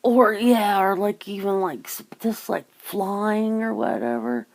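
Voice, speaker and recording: A woman with a creaky, gravelly voice chatting casually in an ASMR style, musing and rambling as host. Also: mid-range voice, takes it slowly, darkish tone.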